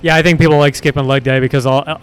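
Man, slightly high voice, interviewer, casual questions, chatty and informal, background noise. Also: racing. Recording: clear steady background noise.

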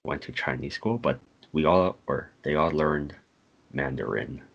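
A man's voice: rumbling low, animated, measured pace, vocal fry, fairly bright tone, breathy edge, dull audio. A young man, a podcast co-host, chatting in a laid-back way. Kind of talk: casual podcast chat.

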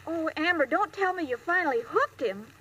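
A woman's voice: very high voice, lively intonation, brisk, fairly bright tone. An actress playing a naive, scatterbrained, sweet young woman in an old-time radio comedy, earnest and guileless. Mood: excited. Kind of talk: 1940s-50s radio comedy acting.

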